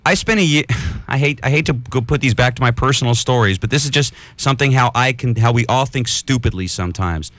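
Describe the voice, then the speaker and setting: Bright-voiced man hosting late-night radio. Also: medium pitch, fairly quick, dry recording. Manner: rambling, animated, tells made-up anecdotes to make a point. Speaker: radio co-host. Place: radio studio. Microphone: studio microphone.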